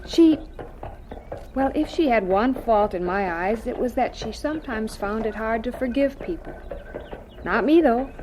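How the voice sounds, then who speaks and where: Radio drama mother played by a woman: gentle, dignified, sincere, fairly high voice with lively swings. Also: a little slow, a little hiss or hum. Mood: tender, wistful. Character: gentle, devout farm mother with quiet dignity.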